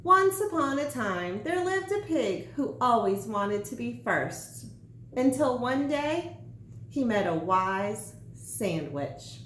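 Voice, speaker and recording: A woman's voice: fairly high pitch, big pitch swings, takes it slowly, a bit bright, full character voice. A woman voicing a cowed, hesitant male pig in a children's storybook, meek and weary. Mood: resigned. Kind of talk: children's storybook read-aloud. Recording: echoey room, faint background noise.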